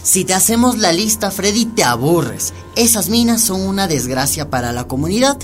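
A woman's voice: mid-range voice, very animated, moderate pace, soft background music, close to an everyday voice. A woman acting as a skeptical, indignant community radio colleague in a radio drama, speaking emphatically.

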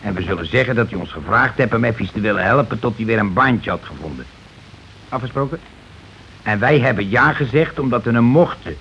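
A gruff working-class husband from a radio play, an ex-soldier who blusters, dodges and schemes in colloquial speech. The old recording is dull, with some noise behind him. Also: male voice, lowish voice, animated, fairly quick, a bit bright. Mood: scheming. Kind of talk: Dutch radio play acting.

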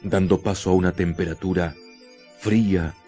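A man narrating a scripted radio drama with deliberate, dramatic phrasing. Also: low voice, fairly even intonation, mellow, soft background music.

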